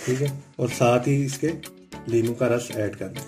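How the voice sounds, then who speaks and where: A man with a Pakistani Urdu accent, hosting a home cooking tutorial and giving calm step-by-step instructions in a home kitchen. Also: mid-range voice, normal speed. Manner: calm step-by-step instructions, often saying "theek hai". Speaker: cooking channel host. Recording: background music, oil sizzling. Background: music and frying sizzle.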